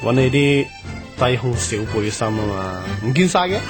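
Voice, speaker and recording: Brash, animated young boss man, voiced by an actor. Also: medium pitch, moderate pace, over music, lightly acted. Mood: teasing. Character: brash, excitable young male company boss, playful and cocky. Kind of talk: Cantonese radio drama acting.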